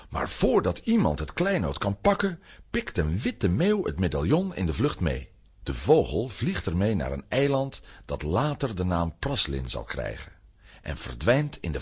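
A deep-voiced man tells a children's adventure as narrator. His voice is strongly husky and swings dramatically in pitch, giving a theatrical, suspenseful read. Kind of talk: dramatic scripted storytelling for children's audio drama.

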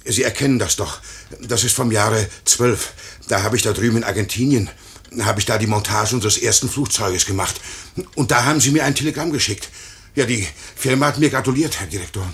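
A very husky, pleading older foreman. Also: fairly low pitch, fairly bright tone, full character voice. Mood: desperate pleading. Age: 50s. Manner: halting, stammering, repeating himself. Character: broken, pleading 58-year-old aircraft works foreman. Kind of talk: 1950s German radio play acting.

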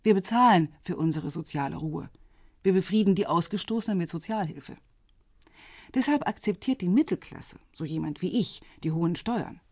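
An actress playing a self-assured, flirtatious middle-aged policewoman with gentle irony in a radio drama. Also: fairly low pitch, expressive, normal speed, breathy edge, dry recording, studio quality. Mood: ironic, assured.

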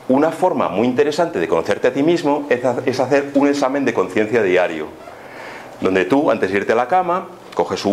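A man with a Spanish accent from Spain speaks at a middling pitch, explaining things to listeners like a teacher. His delivery is informal and didactic, punctuated by rhetorical questions. A light layer of background noise sits behind the voice. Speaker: lecturer on spiritism. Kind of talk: informal explanatory lecture to an audience.

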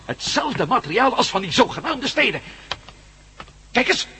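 Bright, animated male adventurer. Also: very high-pitched, normal speed, husky, full character voice. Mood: amazed, excited. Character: Matt, inquisitive, level-headed male adventurer investigating alien ruins. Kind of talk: Dutch radio drama acting.

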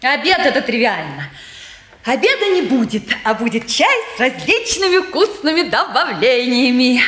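A playful, bossy young woman with a high, swooping voice. She teases and declaims grandly in old Soviet stage style, in a roomy theatre recording. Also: big pitch swings, moderate pace, fairly bright tone, faint music behind. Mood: mock-grand. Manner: mock-grand declaiming, teasing. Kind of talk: 1950s Soviet stage drama acting.

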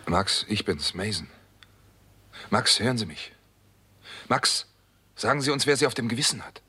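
Terse, low-voiced doctor turned sleuth. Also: male voice, animated, moderate pace, bright, forceful tone, husky, full character voice. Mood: urgent. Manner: terse, matter-of-fact, clipped sentences. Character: hard-boiled doctor turned sleuth, narrating his case, a man. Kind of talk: 1960s German radio crime drama narration and dialogue.

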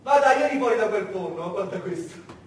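A man's voice: high voice, expressive, fairly quick. A man playing a menacing wartime interrogator who cajoles and threatens in a theatrical monologue. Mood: coaxing menace. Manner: cajoling, threatening, building to shouting. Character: menacing interrogator, falsely friendly then enraged, wartime soldier. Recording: very echoey stage, distant mic, faint noise.

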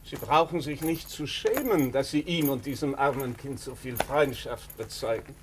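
An actor playing a blunt, shrewd doctor with a deliberate, sardonic, probing manner in a German radio drama. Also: male voice, mid-range voice, background noise, lightly acted. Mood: ironic, probing. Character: blunt, shrewd, bespectacled male country doctor.

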